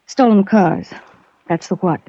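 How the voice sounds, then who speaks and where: A woman's voice: fairly low pitch, unhurried, gravelly, mellow, no echo. An actress playing an earnest, anxious young woman in a 1940s radio drama, speaking with clipped urgency. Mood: anxious, pleading. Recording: dull vintage radio recording.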